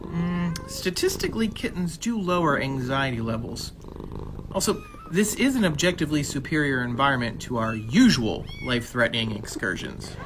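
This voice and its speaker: A man's voice: fairly high pitch, very animated, normal speed. A man playing a pedantic, dry, analytical crew member in a sci-fi comedy radio drama. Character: pedantic, nervous, analytical male crew scientist. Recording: clear background noise.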